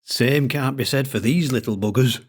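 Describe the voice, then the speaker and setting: An older Lancashire collector with a thick accent, in a story read aloud, as the narrator puts him on. He drones on in an unhurried, secretive way, his voice lifted higher than the narrator's own. It has a slightly different, altered timbre from the reader's usual voice. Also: husky, natural voice. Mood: droning. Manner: droning, unhurried storytelling with dialect grammar. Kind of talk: audiobook character voice.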